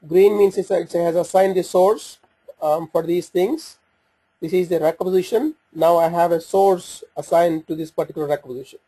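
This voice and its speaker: A man with a slightly husky voice giving an unscripted software walkthrough, hesitant and thinking aloud as he goes. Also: sits high, steady tone, moderate pace, low-quality recording. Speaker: software demonstrator. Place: voice-over on a screen recording.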